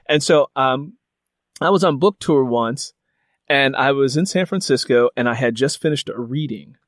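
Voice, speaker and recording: A man's voice: medium pitch, normal speed, no room sound, studio quality. A man hosting a casual interview, telling personal stories in a meandering way peppered with "you know". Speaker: interviewer and host.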